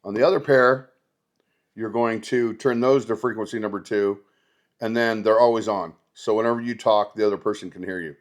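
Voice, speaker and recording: A man's voice: lowish voice, fairly even intonation, moderate pace. A man talking casually and conversationally as a product reviewer, showing items step by step in an unboxing video.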